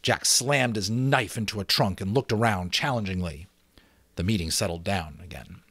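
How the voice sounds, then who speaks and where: A man's voice: fairly low pitch, moderate pace, fairly bright tone, breathy edge, no room sound, studio quality. A man narrating expressively in an audiobook style, as an amateur host with a casual touch.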